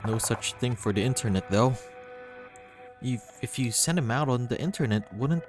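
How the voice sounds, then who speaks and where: A woman's voice: extremely low pitch, lively intonation, normal speed, over music. A woman giving a dramatic read-through of a visual novel's dialogue, playing each character herself. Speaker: game reader doing all voices.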